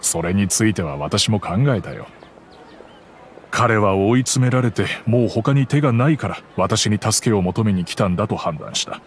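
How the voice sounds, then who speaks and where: An actor playing a gentle, regretful middle-aged merchant father who calmly recounts the past in a game cutscene. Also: lowish voice, normal speed, a little hiss or hum. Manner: calm, reflective storytelling.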